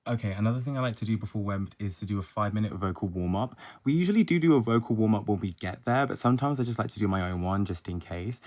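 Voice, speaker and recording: A young man talks through his day in a chatty, upbeat way, tossing in little jokes at his own expense. His voice sits fairly low. A faint hum of room ambience stays under him. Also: fast talker, hardly any pauses, darkish tone. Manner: chatty and upbeat, self-deprecating asides. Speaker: musical theatre student. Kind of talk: casual vlog narration.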